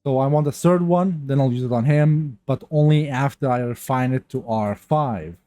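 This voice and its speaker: A man's voice: mid-range voice, moderate pace, warm tone, dry recording. A man with a stuffy nose from a cold, chatting casually over his gameplay on a new, untuned mic, rambling with many restarts. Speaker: gaming youtuber.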